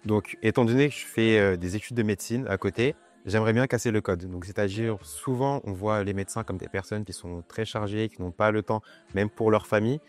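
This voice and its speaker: Young man with a very deep voice. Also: a little fast, few pauses, faint music behind. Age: 20s. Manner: hesitant, many fillers. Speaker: student model. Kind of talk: interview answers. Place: art gallery.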